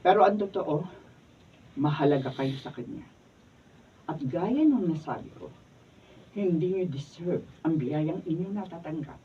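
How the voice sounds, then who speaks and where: Performer as composed lawyer, lively intonation, muffled far-off studio take. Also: medium pitch, unhurried, long pauses, a little hiss or hum, close to an everyday voice. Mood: explaining. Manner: calm, explanatory, formal. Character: family lawyer handling a will. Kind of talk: radio drama acting. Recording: muffled and distant.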